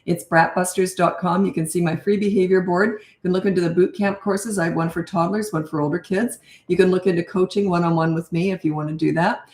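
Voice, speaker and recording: Blunt raspy older woman. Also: fairly low pitch, fairly even intonation, brisk, few pauses, darkish tone, echoey room. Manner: blunt, direct, chatty. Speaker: parenting coach. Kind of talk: live-stream Q&A chat.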